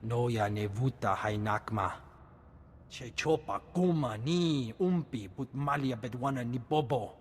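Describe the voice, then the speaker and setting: A man's voice: medium pitch, a little slow, slight background noise, lightly acted. Man voicing glib alien, echoing reverb. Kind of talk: video game creature acting. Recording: long reverb with music behind.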